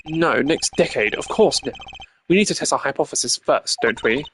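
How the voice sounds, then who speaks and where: A man's voice: mid-range voice, animated, normal speed, a bit bright, a little hiss or hum, close to an everyday voice. A man voicing a stern, cerebral investigator in a video game, speaking formally and precisely with dry sarcasm. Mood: impatient, stern. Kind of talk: hobby voice acting of a video game. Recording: music behind the voice.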